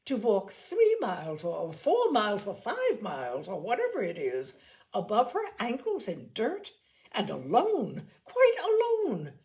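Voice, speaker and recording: A woman's voice: mid-range voice, wildly swooping pitch, measured pace, darkish tone, highs cut off. A woman voicing a snobbish, spiteful young society lady in an audiobook, with an exaggerated, haughty, emphatic delivery that gushes and scorns. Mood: scornful, indignant. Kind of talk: audiobook character acting.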